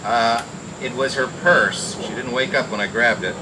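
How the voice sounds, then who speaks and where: Cool wisecracking gumshoe, male actor, medium pitch, noir radio narration with clear background noise. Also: normal speed, natural voice. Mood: wry, cool. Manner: wry, cool, slangy. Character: wisecracking hard-boiled male private detective narrator. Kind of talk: old-time radio noir narration and acting. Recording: noisy old radio drama recording.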